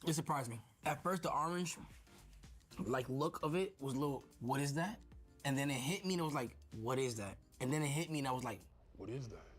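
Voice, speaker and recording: Excitable slangy young man with a Dominican accent. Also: medium pitch, brisk, breathy edge, faint music behind. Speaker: streamer. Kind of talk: casual food vlog chat. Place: restaurant kitchen.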